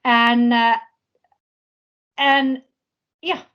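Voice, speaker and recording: A woman giving an unscripted presentation at an online business forum, speaking haltingly and often searching for words. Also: fairly high pitch, little pitch movement, clear, resonant tone. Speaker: presenter, university project representative. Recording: dull, lo-fi online call audio.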